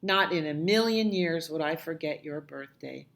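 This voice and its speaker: A woman voicing a patient, affectionate grown-up who humours a small child in a picture book, gentle and reassuring. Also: sits low, normal speed, vocal fry. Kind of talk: picture-book dialogue read aloud.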